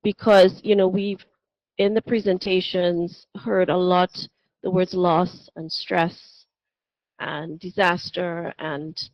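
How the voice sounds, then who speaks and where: A woman's voice: fairly low pitch, little pitch movement, takes it slowly, dry recording. Earnest woman asking a question, dull dry sound. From Trinidad and Tobago. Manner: earnest, searching for words, many ums. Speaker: audience member asking a question. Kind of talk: audience question at a seminar.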